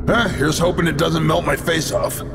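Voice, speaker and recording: An actor playing a cocky, wisecracking macho action hero in a video game, gravelly and flippant. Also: male voice, fairly high pitch, steady tone, normal speed, music in the background, close to an everyday voice. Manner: flippant one-liners. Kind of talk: video game cutscene acting.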